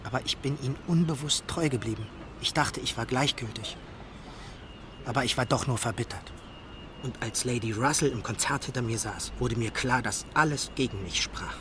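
An actor playing a proud, lovelorn naval captain in a period radio drama, formal and earnest in manner. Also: male voice, mid-range voice, moderate pace, background noise, natural voice. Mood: regretful, confessing.

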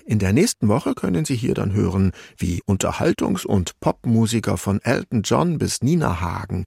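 Low, gravelly male radio presenter. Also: darkish tone, dry recording, studio quality. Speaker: radio music programme host. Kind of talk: scripted radio narration and presentation.

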